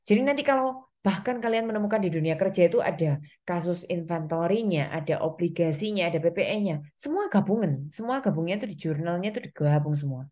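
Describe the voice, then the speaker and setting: A woman's voice: on the low side, expressive, fast talker, warm tone. A woman giving an explanatory lecture, speaking step by step with frequent reminders to her students. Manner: instructional, step-by-step, with frequent reminders and check-in tags. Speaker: accounting lecturer.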